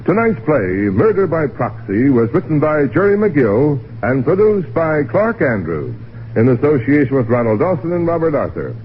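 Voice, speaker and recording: Casting: polished male host-announcer for golden-age radio, theatrical swings in pitch, slightly dull band-limited recording. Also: very animated, moderate pace, slight background noise. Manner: formal, dramatic, polished. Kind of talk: mid-century radio announcing, scripted. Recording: narrow-band vintage radio broadcast.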